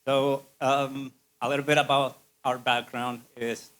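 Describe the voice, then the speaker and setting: A man's voice: mid-range voice, little pitch movement, unhurried, a bit bright. A man with a Spanish accent speaks to an audience. He is a native Spanish speaker and business owner, presenting informally in a middle-range voice. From Spain.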